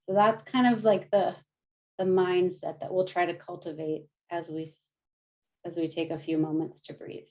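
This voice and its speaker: A woman counselor leading a group through guided mindfulness and gentle yoga, speaking calmly with frequent light laughs, heard over a video call. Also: on the low side, moderate pace. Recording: muffled lo-fi video call audio.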